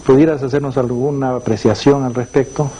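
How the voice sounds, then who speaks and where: A man's voice: mid-range voice, fairly even intonation, a little fast, dark tone, a little hiss or hum. A man putting semi-formal questions as an interviewer, feeling his way through each phrase and rewording as he goes.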